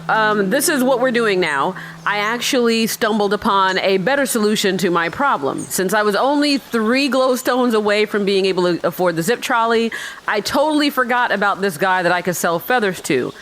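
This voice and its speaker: A woman's voice: medium pitch, fairly bright tone, a little hiss or hum. Chatty woman doing let's-play commentary. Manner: chatty, joking, sarcastic asides. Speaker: gaming video host. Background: game music faintly behind the voice.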